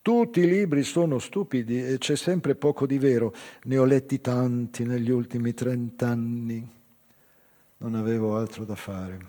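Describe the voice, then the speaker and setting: An actor playing an old, weary Azorean tavern singer with a hoarse smoker's voice, delivering a wry, confiding theatrical monologue. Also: male voice, mid-range voice, unhurried, mellow, lightly acted. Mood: brooding, confiding. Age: older. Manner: brooding, confiding monologue to a silent stranger. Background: live acoustic guitar.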